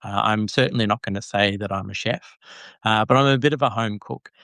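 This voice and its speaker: A man's voice: lowish voice, brisk, a bit bright, no echo. Hesitant male podcast host, dry sound. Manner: hesitant, with many "uh"s and repeated words. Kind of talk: conversational podcast chat.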